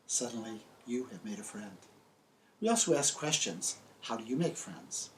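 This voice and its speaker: A man's voice: on the low side, sing-song, moderate pace, a little hiss or hum, low-quality recording. An older American man, a children's book author, presenting and telling stories to young children in simple, emphatic phrases. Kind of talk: friendly scripted presentation and storytelling for young children. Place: small book-lined room indoors.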